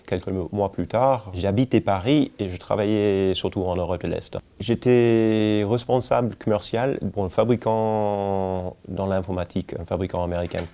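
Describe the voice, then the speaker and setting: A man in his forties from the United States with an American accent, speaking haltingly and searching for words in an interview. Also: fairly low pitch, dark tone. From United States, Kentucky. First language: English. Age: 40s. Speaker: winemaker. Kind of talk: relaxed documentary interview, telling life story.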